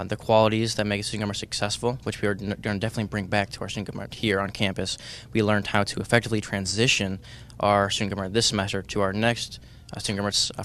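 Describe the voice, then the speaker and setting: A young man giving casual, halting interview answers with many ums, as a student interviewee. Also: fairly low pitch, fairly bright tone, slight background noise. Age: young adult.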